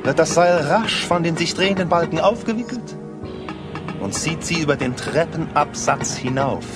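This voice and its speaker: A boastful, menacing swindler voiced by a man in an audio drama. His voice sits fairly high and swoops widely, with smug, theatrical relish. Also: expressive, moderate pace, over loud music, close to an everyday voice. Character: scheming, boastful male villain, a kidnapper and swindler. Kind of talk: German audio play acting.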